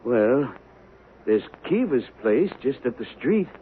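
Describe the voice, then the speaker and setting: An actor playing a nervous, hesitant shopkeeper in a 1970s western radio drama, speaking with an American accent. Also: male voice, medium pitch, sing-song, slow pace. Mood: uneasy. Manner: anxious, hesitant. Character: nervous, pleading western shopkeeper Clem, hired gun. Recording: muffled, hissy vintage radio recording.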